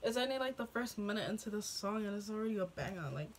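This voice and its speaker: A woman's voice: medium pitch, animated, quick pace, a little hiss or hum. A young woman chatting casually for her reaction vlog, rambling and full of "like", recorded in a small room on a camera close in front. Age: young adult. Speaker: vlogger.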